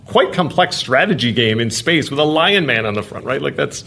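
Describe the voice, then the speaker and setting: A man on a game company's staff speaking in a documentary interview, telling stories with animated enthusiasm. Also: mid-range voice, moderate pace, vocal fry.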